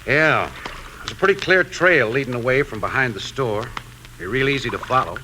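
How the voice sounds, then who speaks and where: A troubled American frontier sheriff, speaks in a folksy, emphatic way. His voice is bright and hard-edged and swings widely in pitch. It comes from a 1950s radio Western with some background noise. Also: animated, moderate pace. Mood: uneasy. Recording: noisy 1950s radio broadcast.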